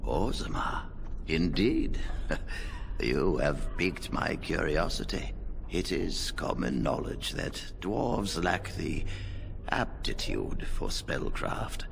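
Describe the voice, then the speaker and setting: Very deep, gravelly elderly mage. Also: male voice, very animated, slight background noise, exaggerated voice. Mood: intrigued, amused. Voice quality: deep, gravelly, breathy at times. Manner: measured, formal, scholarly. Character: kindly, dignified elderly male head mage. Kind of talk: video game voice acting.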